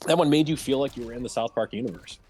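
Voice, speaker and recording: A man's voice: mid-range voice, racing, a little mellow, slightly rough, faint music behind. A man hosting a casual round-table chat about movies and games, speaking in an enthusiastic, opinionated way. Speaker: host of round-table discussion.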